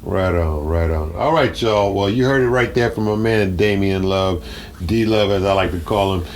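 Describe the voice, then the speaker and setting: A man hosting a casual radio interview in a halting, deliberate, appreciative manner, sometimes repeating words. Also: deep voice, a little hiss or hum. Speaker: radio show host.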